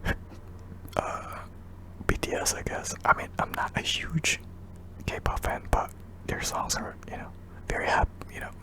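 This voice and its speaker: A man's voice: measured pace, long pauses, bright, forceful tone, poor audio. A young man from Indonesia, whispering hesitantly with many ums while answering viewer questions in an ASMR video. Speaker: ASMR channel host.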